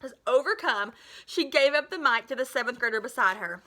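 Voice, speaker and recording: Bright, higher-pitched woman reading aloud. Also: expressive, fairly quick. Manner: dramatic delivery, slips and corrects herself with asides. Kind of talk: expressive read-aloud of a children's novel. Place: small living room at home, indoors.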